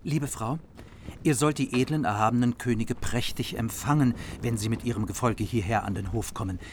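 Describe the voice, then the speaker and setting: An actor playing a courteous, humble margrave in a German radio play, with measured and formal speech. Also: male voice, mid-range voice, darkish tone, a little hiss or hum, close to an everyday voice. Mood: instructing. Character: courteous, humble margrave, proud husband and father. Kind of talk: German radio play acting of medieval epic.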